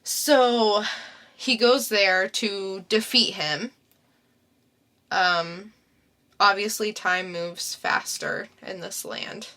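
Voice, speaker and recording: A young woman co-hosts a laid-back podcast and retells a comic plot. She talks at an unhurried, slow pace. Her voice is bright and clear, at a middle pitch. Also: fairly even intonation. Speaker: podcast co-host. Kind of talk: casual podcast chat.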